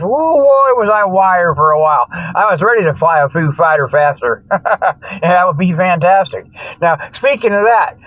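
An older man delivers a rambling, tongue-in-cheek radio monologue. His voice sits fairly high, and his manner is folksy and jokey, prone to wandering off track. The sound is dry and close, with a slightly dull, lo-fi radio quality. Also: no room sound. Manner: folksy, jokey, chuckles at own jokes, loses his train of thought. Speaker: host of a comic radio show.

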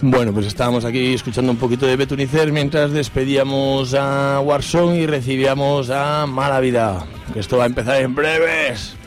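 A man's voice: mid-range voice, fairly even intonation, normal speed. A man hosting a radio segment in a casual, bantering way, with music behind him. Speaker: radio show segment host.

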